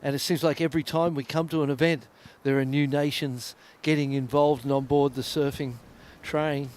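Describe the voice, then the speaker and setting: A man's voice: a little high, little pitch movement, normal speed, a little mellow. A man calling a surfing contest live, chatty and reflective between the waves. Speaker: surf contest commentator. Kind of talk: live sports commentary.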